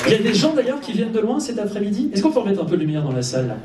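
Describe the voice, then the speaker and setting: A man's voice: high-pitched, very animated, racing, a little mellow. A man hosting a live fan event, chatting casually with the audience in a playful, teasing, informal way. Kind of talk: casual live stage interview with audience banter. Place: cinema auditorium before an audience. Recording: echoey hall, distant mic, audience noise. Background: audience crowd.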